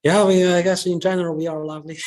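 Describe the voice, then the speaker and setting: Halting middle-aged man. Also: high-pitched, flat delivery, darkish tone. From China. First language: Chinese. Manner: halting, many pauses and restarts. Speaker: guest speaker. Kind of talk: answering audience questions.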